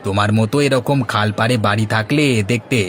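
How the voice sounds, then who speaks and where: Actor as envious fish seller, crowd behind. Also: male voice, fairly low pitch, fairly quick, fairly bright tone, close to an everyday voice. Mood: teasing. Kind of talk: Bengali moral-story cartoon dubbing.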